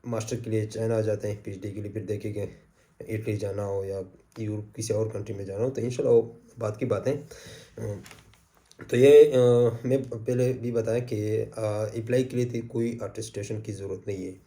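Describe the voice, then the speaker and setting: Brief: male channel host, a bit hoarse, very dark mellow tone, casual explainer. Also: lowish voice, fairly even intonation, moderate pace. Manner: easygoing, repeats points, frequent "uh" fillers. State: sore throat. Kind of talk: casual advice talk to camera.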